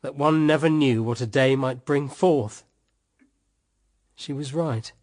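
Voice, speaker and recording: An actor with a British accent playing a weary, embittered husband in a radio drama, wry yet tenderly affectionate. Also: male voice, mid-range voice, takes it slowly, a little mellow, natural voice. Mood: bitter, despairing. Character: weary, embittered husband, tender toward his young sister-in-law.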